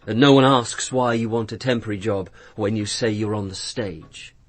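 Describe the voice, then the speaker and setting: Actor as callous young British man, low pitch, husky, sardonic, clipped delivery, radio drama. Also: normal speed, lightly acted. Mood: offhand. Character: flippant, callous young man recounting a drowning to police.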